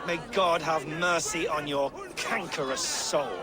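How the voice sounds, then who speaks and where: Man acting a theatrical zealot reeve, slow and sneering. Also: fairly high pitch, steady tone, loud music behind the voice, a loud crowd, lightly acted. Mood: righteous contempt. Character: zealous cruel reeve, male, preaching judgment to a crowd. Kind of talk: dramatic game voice acting.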